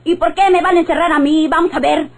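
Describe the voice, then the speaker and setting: A brazen working-class Mexican gossip in an old radionovela. She is high-pitched and mouthy, talking back with cheek. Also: steady tone, fast talker. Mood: indignant.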